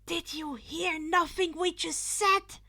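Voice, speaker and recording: A woman's voice: extremely high pitch, fairly even intonation, takes it slowly, bright, forceful tone, pitched above the performer's own voice, heavily acted. Woman doing an uptight, indignant teenage schoolboy. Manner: emphatic, scolding. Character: uptight, formal teenage boy student, indignantly objecting.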